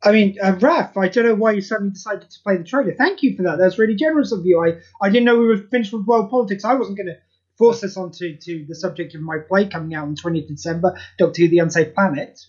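A man's voice: sits high, fast talker, a little mellow. A man from the UK, a guest chatting about the audio play he writes, hesitant and full of fillers, self-mocking. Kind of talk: casual chat. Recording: dull lo-fi audio.

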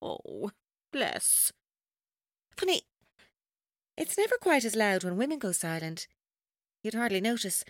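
An actress playing a controlling, taunting female captor in an audio drama, speaking in a deliberate, coaxing way. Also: mid-range voice, sing-song, dry recording, studio quality. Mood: mocking, airy. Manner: deliberate, coaxing, coldly mocking. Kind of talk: audio drama acting, monologue-like.

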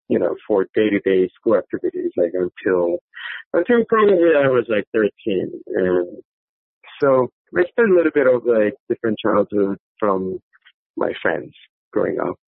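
A man with a Korean accent answers as an interview guest in a lowish voice. He speaks conversationally and haltingly, with many filler words, over a thin, phone-like line with no room sound. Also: creaky, dry recording. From South Korea. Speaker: podcast guest, company executive.

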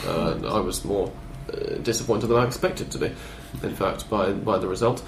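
A man hosting a casual football podcast, with a sore throat and a blocked-up cold, talking in a measured way. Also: on the low side, echoey room, background noise. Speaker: podcast host. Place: living room.

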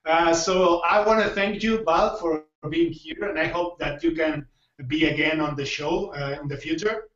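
A man hosting a casual podcast interview in non-native English, speaking haltingly as he searches for words. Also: fairly high pitch, fairly bright tone, echoey room, far from the mic, muffled recording. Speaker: podcast host.

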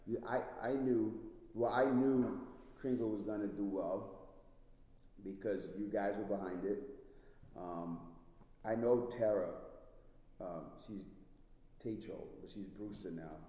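A man's voice: on the low side, steady tone, takes it slowly, long pauses, mellow. Bahamian man in a very echoey room. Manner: enthusiastic, drops in "man" often. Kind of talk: casual interview chat. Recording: very echoey room with long reverb.

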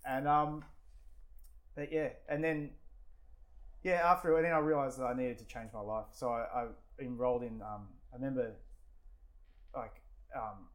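A man's voice: medium pitch, steady tone, moderate pace, long pauses, low-quality recording. A man in his forties with an Australian accent, telling stories in casual chat, rambling with many fillers and restarts and swearing freely. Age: 40s. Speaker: guest. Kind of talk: casual storytelling.

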